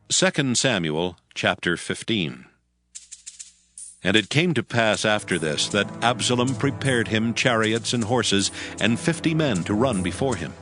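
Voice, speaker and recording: Solemn low-voiced male narrator. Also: fairly bright tone. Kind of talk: solemn scripted scripture narration.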